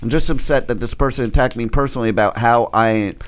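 A man's voice: mid-range voice, fast, no echo. A man hosting a radio talk show, bantering bluntly and joking as he talks over his co-hosts.

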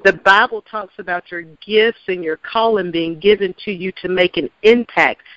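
Effusive host of a gospel interview. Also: female voice, lowish voice, normal speed, a bit bright, muffled recording. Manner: effusive, affirming.

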